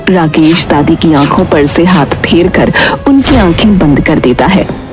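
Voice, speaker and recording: A woman narrates a dramatic cartoon tale from a script. Her delivery is very unhurried, and music plays clearly behind her. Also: deep voice, animated.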